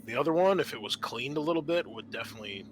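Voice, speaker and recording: A man narrating an improvised tabletop game as game master, halting often mid-sentence. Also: medium pitch, animated, slight background noise, low-quality recording.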